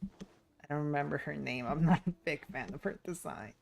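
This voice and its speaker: A woman's voice: deep voice, moderate pace, gravelly, slight background noise. A woman livestreaming a game, chatting casually and reading dialogue aloud in a playful, giggly manner. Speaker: streamer.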